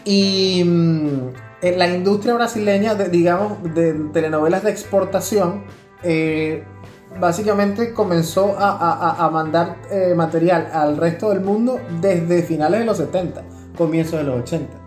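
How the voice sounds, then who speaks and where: A man's voice: sits high, measured pace, a little mellow. A man with a Venezuelan accent co-hosting a podcast, explaining things in a casual, conversational way. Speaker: podcast co-host. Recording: roomy echo, faint music behind.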